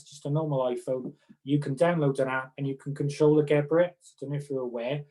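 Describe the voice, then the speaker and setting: Mellow middle-aged Welshman chatting informally. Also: medium pitch, low-quality recording. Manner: chatty, explanatory, example-led. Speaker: occupational therapist and conference speaker. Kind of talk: informal advice talk to fellow professionals.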